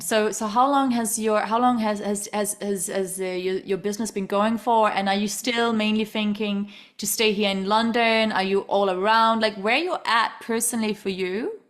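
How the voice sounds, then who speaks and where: A woman from Denmark hosting a podcast, talking in a rambling, animated way and often repeating words as she restarts. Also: medium pitch, normal speed, few pauses. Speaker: podcast host. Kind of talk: casual interview chat.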